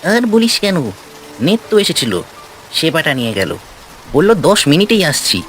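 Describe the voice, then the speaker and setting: A man voicing a fussy, chatty village tea-stall keeper in a cartoon story, animated and complaining in a colloquial way. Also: fairly high pitch, a little slow, slight background noise, low-quality recording.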